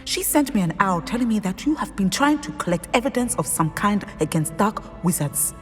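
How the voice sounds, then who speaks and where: A woman playing a protective, disapproving middle-aged mother in a game, firm and measured. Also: mid-range voice, expressive, in a large hall, soft background music, close to an everyday voice. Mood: worried, reproving. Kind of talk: game cutscene dialogue.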